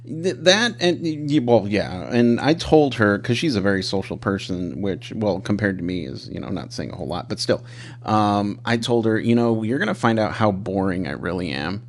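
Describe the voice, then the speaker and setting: A man's voice: on the low side, lively intonation, moderate pace, slight background noise. A man in his thirties hosting a podcast, chatting casually with a self-deprecating, rambling way of talking. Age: 30s. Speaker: podcast host. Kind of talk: casual interview chat.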